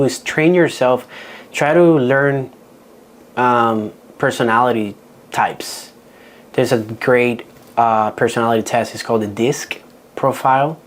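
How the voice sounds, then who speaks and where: A man's voice: mid-range voice, slight background noise. Dominican guy with an accent running a real estate seminar, practical, restarts his sentences a lot. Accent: Dominican Spanish. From Dominican Republic. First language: Spanish. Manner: frank, practical, with frequent restarts. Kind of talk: seminar talk.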